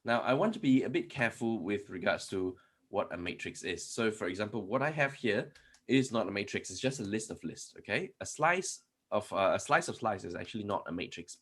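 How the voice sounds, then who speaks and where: A man with a low voice explains programming concepts to a conference audience. His tone is slightly husky, and his explanations loop back on themselves with fillers and restarts. Keyboard typing is heard now and then behind him. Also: expressive, brisk, fairly bright tone. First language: not Polish. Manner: explanatory, many restarts and fillers, asks rhetorical questions. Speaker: conference speaker. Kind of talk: live-coding tech talk.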